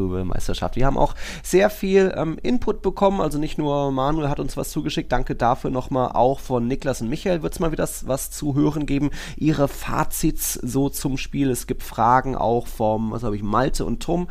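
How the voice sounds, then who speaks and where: A man talks casually as the host of a football podcast. His voice sits at a medium pitch, and he speaks in a loose, conversational way. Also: darkish tone.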